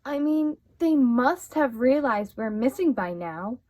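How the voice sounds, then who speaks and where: A woman's voice: sits high, expressive, slow, a little mellow, slight background noise. A woman acting a catty, sardonic former star actress in a radio play. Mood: sardonic, confessional.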